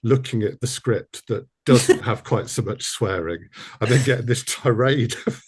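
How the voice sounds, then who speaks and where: A man's voice: medium pitch, normal speed, a little mellow, breathy edge. A man interviewing in a casual conversation, rambling and hesitant as he forms his questions. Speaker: interviewer and audio drama producer.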